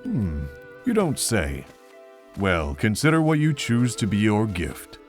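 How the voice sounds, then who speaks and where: A man playing a gentle, wry middle-aged monk who is kindly with children in an animated fantasy story. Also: fairly low pitch, slow pace, faint music behind. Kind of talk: animated fantasy visual novel voice acting.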